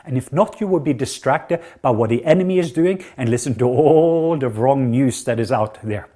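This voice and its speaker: A middle-aged man with a Norwegian accent delivers a sermon in an earnest, emphatic manner. He often pauses on fillers between phrases, and his voice sits at an ordinary pitch for a man. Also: warm tone. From southwest Norway. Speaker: preacher.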